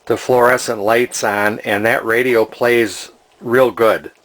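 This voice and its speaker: A man's voice: mid-range voice, a bit bright, low-quality recording. A man casually talking through an antique radio repair in his workshop, plain and hesitant with frequent fillers. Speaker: radio restorer. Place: home workshop.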